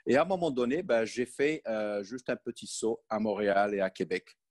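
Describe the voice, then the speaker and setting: Hesitant Moroccan-born man, low voice. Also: normal speed. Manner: hesitant, many fillers and restarts. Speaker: podcast guest, sales manager. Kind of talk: conversational interview.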